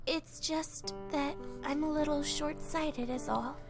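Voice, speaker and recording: A man voicing a shy, sweet schoolgirl in a visual novel, with a thin girlish voice and timid, gentle manner. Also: extremely high pitch, fairly even intonation, slow pace, creaky, voice pushed up, heavily acted. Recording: music playing behind the voice.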